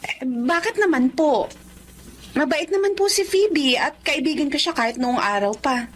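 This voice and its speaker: A woman's voice: high-pitched, animated, normal speed. A woman playing a sweet, trusting young wife in a Filipino drama, friendly and teasing. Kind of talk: Filipino radio-style drama acting. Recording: faint background noise.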